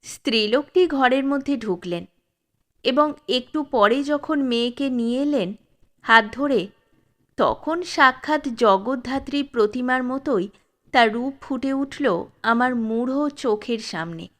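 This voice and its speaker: A woman narrating a classic story in a measured, expressive reading. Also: high voice.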